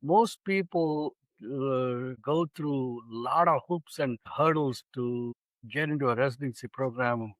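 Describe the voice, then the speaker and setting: A man in his sixties from India, a physician guest telling his story in an unhurried, reflective way in a studio interview. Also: medium pitch, no echo, rough recording. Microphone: studio microphone.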